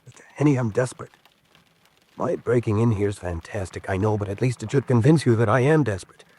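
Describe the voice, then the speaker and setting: A man's voice: on the low side, a little fast, warm tone, slightly rough. A desperate spy on the run in a radio drama. He is a hunted American man who speaks with urgent, dramatic intensity, as if begging to be believed.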